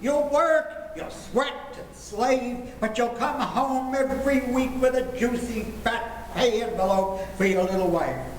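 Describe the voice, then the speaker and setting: Man as firm, blustering radio-comedy father; high voice, emphatic paternal delivery, echoey room. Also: moderate pace, few pauses. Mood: persuasive. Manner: emphatic, paternal, repeats himself for effect. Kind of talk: old-time radio comedy acting. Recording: echoey room with background noise.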